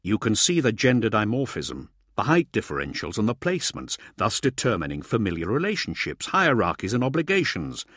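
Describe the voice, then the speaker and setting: Man playing a clear, terse expedition leader. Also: lowish voice, wildly swooping pitch, fairly bright tone, no room sound, clean studio sound, pitched above the performer's own voice, full character voice. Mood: firm, explanatory. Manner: measured, firm, explanatory. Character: terse, quietly authoritative male expedition leader, impatient, matter-of-fact. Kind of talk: audiobook character dialogue.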